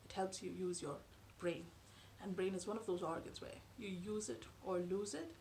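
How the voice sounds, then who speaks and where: A woman in her thirties whose first language is Tamil, talking to camera from a sofa in her living room in a measured, thoughtful way with frequent pauses. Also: lowish voice, fairly even intonation, a little mellow, slight background noise. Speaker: vlogger. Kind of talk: explanatory talk to camera. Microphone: camera in front at close range.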